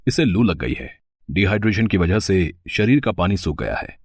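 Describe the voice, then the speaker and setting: A man voicing a serious, matter-of-fact doctor in a moral story, measured and authoritative. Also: low-pitched, very animated, breathy edge, no echo, clean studio sound, full character voice.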